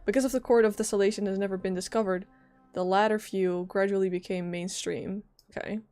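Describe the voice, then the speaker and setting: Woman, mid-pitched, casual game commentary, expressive livestreamer, lingering cough, music in the background. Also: a little fast.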